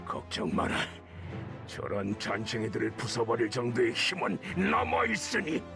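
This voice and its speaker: A man voicing a brutal, arrogant, wrathful beast villain in a game cutscene, gravelly and contemptuous. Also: mid-range voice, very animated, music in the background. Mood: gruff scorn. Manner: contemptuous, slow threats. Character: brutal wrathful male living cookie beast, arrogant destroyer. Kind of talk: scripted video game cutscene acting.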